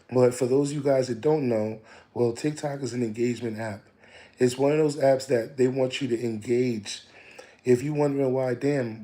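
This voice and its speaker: Man, a bit hoarse, mellow low tone, repetitive motivational coach. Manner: preachy, repeats lines, calls listeners "family". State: slightly hoarse. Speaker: digital marketing coach. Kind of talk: casual motivational live stream.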